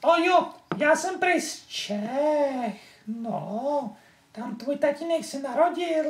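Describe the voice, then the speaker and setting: A woman's voice: high voice, animated. A woman voicing a cheeky, chattering little boy marionette in an improvised, playful puppet show for a small child. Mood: chatty, playful. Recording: echoey room, noisy amateur home recording.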